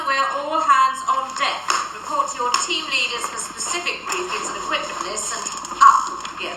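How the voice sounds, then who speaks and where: A woman's voice: sits high, lightly acted. An actress playing a stern, commanding female secret agency chief in a radio drama, clipped, authoritative and dryly sarcastic. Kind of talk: modern radio drama acting. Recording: roomy, distant, slightly noisy.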